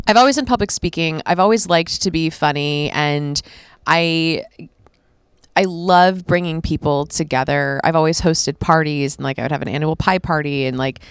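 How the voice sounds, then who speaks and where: A woman's voice: low voice, moderate pace, clean tone, no room sound, studio quality. A woman in her late thirties, a stand-up comic, talking candidly and at length in a casual podcast chat. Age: 30s. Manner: candid, rambling, self-reflective. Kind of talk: casual conversation.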